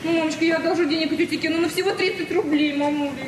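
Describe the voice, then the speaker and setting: Fast, high-pitched gushing girl student. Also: fairly even intonation. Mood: wheedling. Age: young adult. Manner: girlish, effusive, coaxing. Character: gushing, flighty twenty-year-old drama student daughter. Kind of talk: 1970s Soviet radio drama acting. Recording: noisy old radio recording, reverberant, distant.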